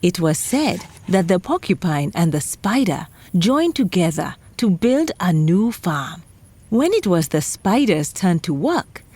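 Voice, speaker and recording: A woman's voice: mid-range voice, dark tone. A woman hosting a children's folktale podcast, telling a scripted story theatrically and speaking straight to young listeners.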